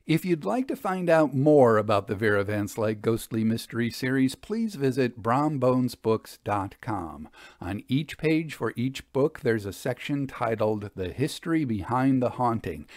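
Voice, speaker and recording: Male storyteller for scripted narration: medium pitch, articulate, measured, very little room sound. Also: lively intonation, a little mellow, no room sound, clean studio sound. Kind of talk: scripted audiobook narration and commentary.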